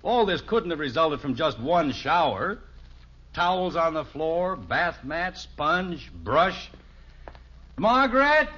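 A man's voice: a little high, sing-song, slow, bright, forceful tone, breathy edge, exaggerated voice. An actor playing an exasperated middle-aged American father in a 1950s radio sitcom, with an emphatic, blustering manner. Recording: muffled vintage 1950s radio recording.

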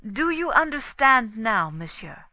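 A commanding male detective from a storybook, acted out by a woman, speaking in slow, deliberate pronouncements. The delivery is theatrical and pointed, with a sharp, forceful edge and a formal air of menace. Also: on the high side, very animated, dry recording, pitched above the performer's own voice, heavily acted. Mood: pointed, grave. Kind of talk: dramatic audiobook character dialogue.

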